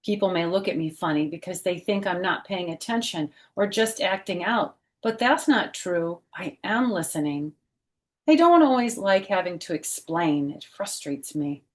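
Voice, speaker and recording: A middle-aged woman with a slightly low voice hosts a children's storytime. She reads in a gentle, teacherly way and slips easily into conversational asides, putting questions to her young listeners. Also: expressive, moderate pace. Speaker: storyteller reading a children's book, former teacher. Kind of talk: read-aloud storytime with personal asides.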